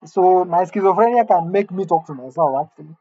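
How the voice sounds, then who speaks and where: Man, late twenties, solo vlogger, self-mocking musing monologue, warm mellow tone, empty room. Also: high voice, expressive, brisk, no room sound, lo-fi sound. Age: late 20s. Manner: wry, rambling, self-mocking.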